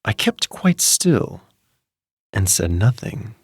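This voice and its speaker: A man reads a tale in a slow, intense, confiding voice, his low-set pitch swinging widely between hushed lines and sudden rises. The sound is close and dry, with no room around it. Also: sing-song, gravelly, breathy edge, no room sound. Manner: intense, confiding first-person telling with hushed drawn-out words. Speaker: narrator. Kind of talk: scripted dramatic reading of a classic tale.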